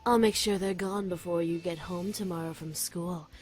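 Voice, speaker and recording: A woman performs a succubus villain from a visual novel game. She speaks in a low female voice, sweetly mocking and full of smug menace. Faint music plays underneath. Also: steady tone, a little mellow, natural voice. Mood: smug taunting. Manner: mocking, condescending, drawn-out sweetness.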